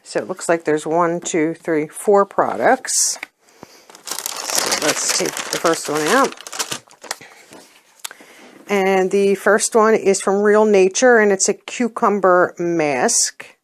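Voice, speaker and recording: A middle-aged woman with a hoarse voice from a cold, chatting casually as she reviews beauty products and often pauses with ums. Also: mid-range voice. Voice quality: hoarse, clears her throat. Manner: chatty, plainspoken, hesitant with ums. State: getting over a cold, losing her voice. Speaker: unboxing vlogger.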